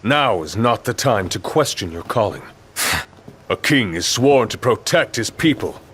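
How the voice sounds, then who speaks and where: An actor playing a stern, dutiful middle-aged royal marshal with solemn, formal delivery in a game cutscene. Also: male voice, fairly low pitch, lively intonation, unhurried, fairly bright tone, a little hiss or hum. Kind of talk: video game cutscene acting.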